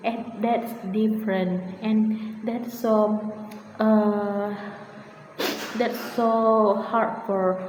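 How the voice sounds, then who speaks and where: A woman's voice: medium pitch, level tone, very slow, pauses often, darkish tone, slight background noise. A young woman from Indonesia with an Indonesian accent, giving a spoken reading report as a student, hesitant with many fillers and restarts. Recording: echoey room with faint ambience.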